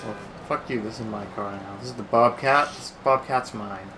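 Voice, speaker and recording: A man's voice: fairly low pitch, moderate pace, background noise. A man co-hosting a video game playthrough, riffing casually and swearing as he jokes with his partner. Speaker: let's play co-host. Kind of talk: casual joking banter over gameplay.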